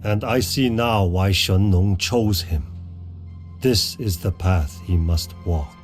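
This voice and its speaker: A deep-voiced, wise mentor, speaks slowly and solemnly. He gives grave counsel in the manner of a game cutscene sage. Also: soft background music. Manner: solemn, unhurried, instructive. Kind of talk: video game cutscene acting.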